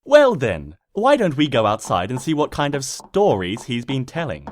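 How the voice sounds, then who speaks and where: A man's voice: mid-range voice, wildly swooping pitch, moderate pace, a little hiss or hum. A man voicing an exasperated young female cartoon pony with a sarcastic, bossy, huffy manner. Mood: indignant. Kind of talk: cartoon fan-fiction character reading.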